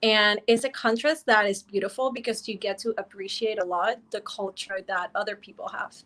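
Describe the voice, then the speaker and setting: A woman's voice: mid-range voice, steady tone, moderate pace, fairly bright tone. A woman panelist speaking earnestly and appreciatively in a casual panel talk.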